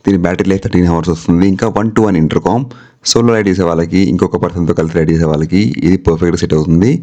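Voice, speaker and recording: A man's voice: low voice, steady tone, rapid-fire, warm tone. A man with a Telugu accent narrating a product review vlog, speaking directly and mixing English terms into his talk. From India. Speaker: vlogger.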